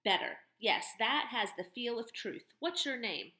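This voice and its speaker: Woman doing a fierce one-legged lady, sly and needling, loud and high, echoey room. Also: expressive, measured pace. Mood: interrogating. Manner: sharp, mocking, interrogating. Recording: roomy echo.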